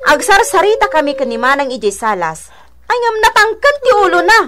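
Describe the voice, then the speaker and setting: A woman's voice: very high-pitched, big pitch swings, fairly quick, background noise. An actress playing a short-tempered, nagging young mother and housewife, scolding and exasperated, in an Ilocano radio drama. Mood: panicked, angry.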